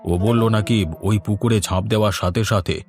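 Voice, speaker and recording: Cartoon village man male actor: inquisitive, mild-mannered, lowish voice over a music bed. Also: fairly even intonation, normal speed, close to an everyday voice. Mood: puzzled. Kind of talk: cartoon voice acting.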